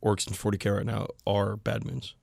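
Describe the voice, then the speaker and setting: A man's voice: lowish voice, flat delivery, moderate pace, gravelly, a little mellow, no room sound. Man hosting a podcast, dry close sound. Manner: enthusiastic, informal, conversational. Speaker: podcast host. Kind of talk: casual hobby podcast hosting.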